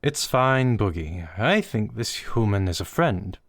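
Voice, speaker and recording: A small digital creature, voiced by a man with a slightly raised, earnest voice that coaxes gently and rises and falls freely. Soft music runs underneath. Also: on the low side, sing-song, a little slow.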